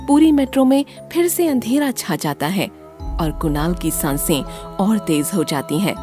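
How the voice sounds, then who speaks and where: A woman narrating a scripted horror story in a dramatic, deliberate storytelling manner. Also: medium pitch, lively intonation, mellow, faint music behind.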